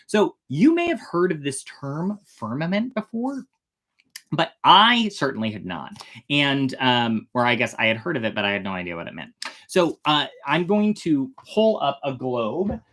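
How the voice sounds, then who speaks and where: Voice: mid-range voice, very animated, normal speed, a bit bright. A storytime host chatting casually about a story, with playful, irreverent and flippant asides.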